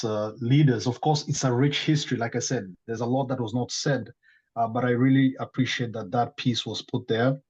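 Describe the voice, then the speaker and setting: A man's voice: mid-range voice, a little mellow, slightly rough, muffled recording. A man from East Africa on a video call, earnestly sharing his thoughts point by point in a group discussion. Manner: earnest, appreciative, orderly points. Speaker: discussion participant.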